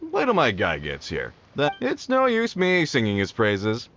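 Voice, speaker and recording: A man's voice: mid-range voice, huge pitch swings, measured pace, a bit bright, heavily acted. A man voicing a hearty, boastful older tradesman uncle in an anime video game. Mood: confident. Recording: muffled game stream with music.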